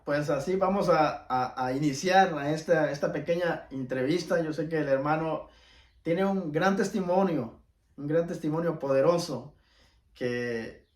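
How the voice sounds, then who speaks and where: Man, church host, informal introduction, hesitant repeated words, slightly high pitch, roomy echo. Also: a little slow. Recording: roomy echo, distant microphone.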